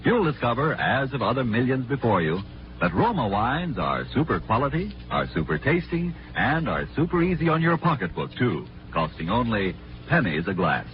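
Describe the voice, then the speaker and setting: An American man delivers a polished 1940s radio commercial with lively swings in pitch. He stresses his points emphatically, and the recording is muffled and noisy. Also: moderate pace. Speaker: sponsor's announcer. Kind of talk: 1940s radio advertising read.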